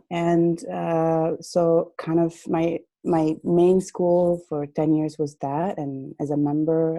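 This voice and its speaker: A woman's voice: low voice, dark tone, dry recording. A woman from Italy, a gallery owner and artist answering interview questions hesitantly on a video call. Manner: hesitant, many fillers.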